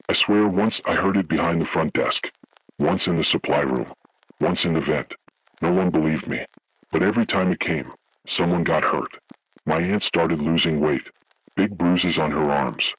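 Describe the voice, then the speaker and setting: A man voicing a haunted, grave survivor, narrating a scripted first-person horror story in slow, deliberate, ominous phrases with a gravelly, husky voice. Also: low voice, a bit bright, no echo, low-quality recording. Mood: grave dread. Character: haunted man recounting childhood motel horror, grave, dread-filled.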